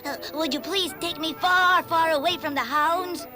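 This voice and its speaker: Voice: extremely high pitch, moderate pace, over music. A performer voicing a frantic, frightened hare in a cartoon fable, pleading urgently. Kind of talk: animated fable dialogue.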